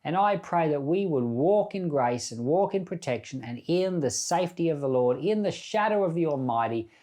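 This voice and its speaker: A man's voice: a little high, lively intonation, normal speed, mellow. A man speaking as a pastor, giving informal Bible teaching in a reflective, conversational storytelling manner.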